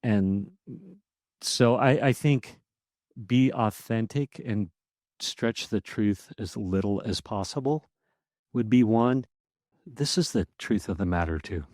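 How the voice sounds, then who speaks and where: A man's voice: mid-range voice, a little mellow, dry recording, studio quality. A man guesting on a podcast, talking casually and animatedly, laughing often, with a powerful voice that projects. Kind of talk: casual interview conversation.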